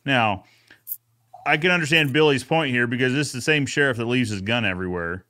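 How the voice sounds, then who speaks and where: A man's voice: medium pitch, a little fast, pushed and bright. A man hosting a podcast recap in a casual, folksy, conversational way. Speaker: host of a podcast recap show.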